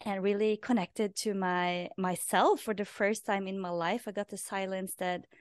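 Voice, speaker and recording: A woman's voice: medium pitch, clear, resonant tone, dry recording, clean studio sound. A woman from Norway, speaking English as a second language, telling her story earnestly as a podcast guest and often repeating a word as she restarts. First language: Norwegian. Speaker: podcast guest, life purpose coach. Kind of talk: casual storytelling interview.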